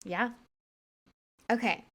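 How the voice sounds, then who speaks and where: Chatty, slightly congested woman podcaster. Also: very slow. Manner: chatty and rambling, many fillers. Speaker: podcast co-host. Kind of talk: casual podcast chat.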